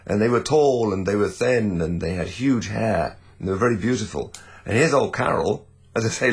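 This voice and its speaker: A man's voice: on the low side, slightly rough. A man who is a singer, guitarist and broadcaster, chatting easily about a song and singing bits of it to show the chords. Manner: easygoing, chatty, sings lines to illustrate chords. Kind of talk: explaining a song while playing guitar. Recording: guitar playing behind.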